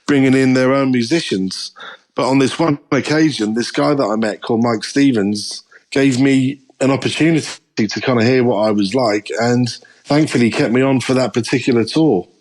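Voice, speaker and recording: A middle-aged English man speaks as a podcast guest in a mid-pitched, everyday voice. He tells his story candidly and thoughtfully, often pausing on "you know". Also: darkish tone. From England. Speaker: guest drummer. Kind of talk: podcast interview.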